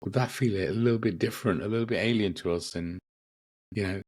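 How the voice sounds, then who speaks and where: A man hosting a spiritual podcast, gently reflective, his voice a bit croaky from a lingering cough. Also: fairly low pitch, fast talker, no echo, clean studio sound. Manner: gentle, reflective, with frequent ums and repeats. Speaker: podcast host. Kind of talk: casual spiritual podcast talk.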